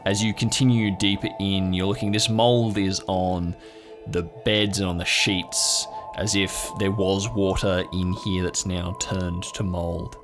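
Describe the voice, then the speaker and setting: A man's voice: low voice, little pitch movement, a little slow, music in the background. A man narrating an improvised horror tabletop game as game master, in a descriptive, suspenseful storytelling manner.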